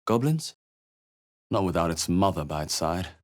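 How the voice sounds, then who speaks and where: A man voicing a wary, observant fantasy adventurer hero in a video game, with a husky voice and a wry, measured delivery. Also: deep voice, lively intonation. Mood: curious, musing. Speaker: video game player character. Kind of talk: video game voice lines, short reactive remarks.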